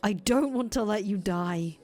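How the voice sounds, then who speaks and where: A woman voicing a desperate young woman in love, crying and pleading in high shouts. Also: measured pace, natural voice. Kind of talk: audio drama acting.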